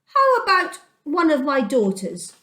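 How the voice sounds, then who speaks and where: A woman voicing an excitable, scheming young bridegroom in a children's storybook, exclamatory and theatrical. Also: sits high, unhurried, roomy sound, full character voice. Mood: eager.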